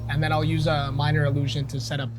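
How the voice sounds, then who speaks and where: A man's voice: a little high, completely flat delivery, quick pace, over music. A man playing a tabletop fantasy game, casually describing what his character does.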